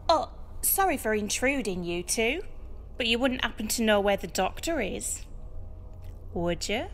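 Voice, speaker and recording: A woman acts as a breezy female alien time traveller in an audio drama. Her voice is highish and swoops about, curious and cheerfully intrusive. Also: animated, a little slow, a little hiss or hum.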